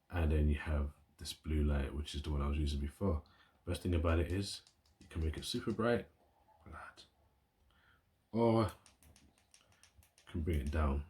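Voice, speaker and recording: A man's voice: rumbling low, a little mellow. A man chatting casually to his phone camera at home, rambling and enthusiastic as he shows off his gear. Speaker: YouTuber. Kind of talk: casual vlog talk to camera. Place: indoors at home, at a table. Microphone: phone camera, built-in mic.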